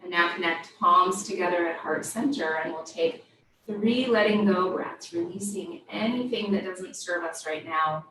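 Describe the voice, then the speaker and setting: A woman teaching yoga, guiding a body scan with gentle, invitational step-by-step cues. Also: on the low side, normal speed. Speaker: yoga instructor. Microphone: distant microphone. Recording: very echoey room, distant mic, faint noise.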